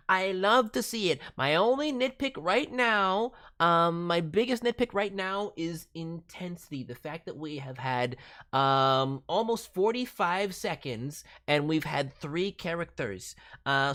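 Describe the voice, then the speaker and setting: A woman's voice: fairly low pitch, a bit bright. A woman hosting a livestream critique as a voice director, giving encouraging, emphatic feedback. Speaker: host, voice director reviewing demo reels. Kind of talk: spoken critique, livestream commentary.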